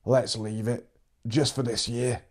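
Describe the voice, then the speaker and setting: A man's voice: mid-range voice, level tone, measured pace, close to an everyday voice. A man voicing a teasing, easygoing old farmer with a cracked, wheezy voice in a story reading. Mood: wry, amused. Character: sly old male farmer, teasing, easygoing drinking pal.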